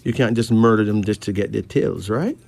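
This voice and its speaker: A man from Barbados with a Caribbean accent, hosting a late-night radio show in a measured, deliberate way with pauses between phrases. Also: fairly low pitch, creaky, a little mellow, no echo. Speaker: radio host giving readings to callers. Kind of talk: late-night radio talk, slow monologue and caller chat. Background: faint music.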